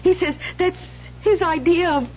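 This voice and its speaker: A woman's voice: extremely high pitch, a little slow. An actress playing a bitter, self-pitying, hard-drinking woman in an old radio drama, slangy and blunt. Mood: bitter complaining. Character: bitter, weepy, hard-drinking woman stuck with bad men. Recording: noisy, muffled vintage radio recording.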